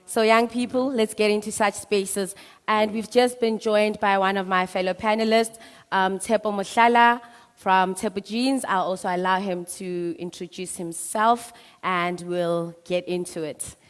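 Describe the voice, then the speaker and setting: A woman's voice: mid-range voice, fairly even intonation, measured pace, clear, resonant tone. A woman moderating a conference panel, upbeat and encouraging toward young people. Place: conference hall. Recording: reverberant hall.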